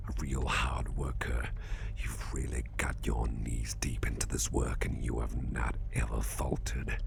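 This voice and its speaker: A man playing a suave, genteel boss interrogating an employee in an ASMR roleplay, in a gravelly half-whisper with slow, deliberate, wordy phrasing. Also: a bass voice, exaggerated voice. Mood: reassuring, apologetic. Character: suspicious but kindly crime-tinged boss, suave interrogator.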